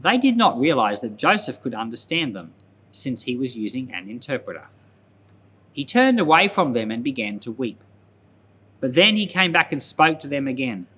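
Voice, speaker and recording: A man reading scripture aloud as a pastor, narrating in a deliberate, storytelling manner. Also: mid-range voice, big pitch swings, pushed and bright, slightly rough.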